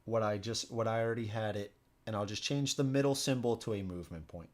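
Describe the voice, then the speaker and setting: A man hosting a solo board game stream, talking informally, thinking out loud and often repeating himself as he plays. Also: lowish voice, fast talker. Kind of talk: casual live stream commentary while playing.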